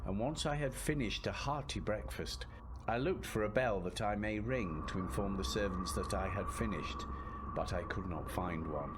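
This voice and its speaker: A man playing an earnest, polite Victorian English gentleman, narrating his travels formally in a radio drama. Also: on the low side, normal speed, close to an everyday voice. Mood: puzzled, reflective. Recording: clear background ambience noise.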